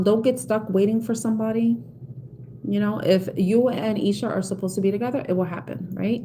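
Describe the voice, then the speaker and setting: A woman's voice: medium pitch, noisy background. A middle-aged woman from the South Bronx with a New York accent, giving a live tarot reading and chatting with viewers in a reassuring, rambling way, full of fillers and restarts. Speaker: tarot reader.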